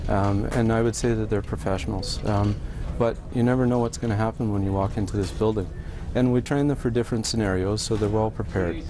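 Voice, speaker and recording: Low-voiced man in an interview. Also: fairly even intonation, brisk, hardly any pauses, mellow, a little hiss or hum. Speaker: air navigation manager being interviewed. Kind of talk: interview answers. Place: air traffic control centre. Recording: busy workplace chatter behind.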